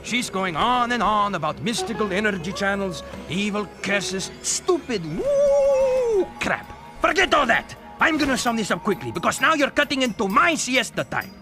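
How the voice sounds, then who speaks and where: A grumpy talking bird from a video game. He speaks in a very high, animated voice with theatrical disdain and impatience, with music clearly behind. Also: moderate pace, few pauses. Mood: impatient. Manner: theatrical, dismissive.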